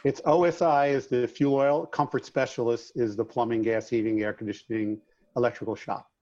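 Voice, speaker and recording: A man's voice: mid-range voice, fairly even intonation, a little fast, darkish tone, lo-fi sound. A middle-aged man from Long Island with a slight New York accent, chatting as a guest in an interview in an upbeat, folksy way. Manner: upbeat, folksy, addresses host by name. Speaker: guest, trades business owner. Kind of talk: casual interview chat.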